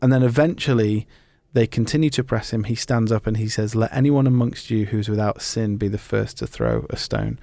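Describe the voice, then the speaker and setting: A man's voice: on the low side, animated, moderate pace, creaky, few pauses, mellow, dry recording. A man hosting a podcast, talking conversationally about faith in an earnest, explanatory way. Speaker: podcast host. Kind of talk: conversational talk on faith and football.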